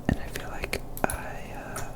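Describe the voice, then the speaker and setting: A woman whispers her way through video game commentary in an ASMR style. She muses aloud casually and without hurry, keeping to a soft, voiceless whisper as she plays. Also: a little slow. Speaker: gaming streamer.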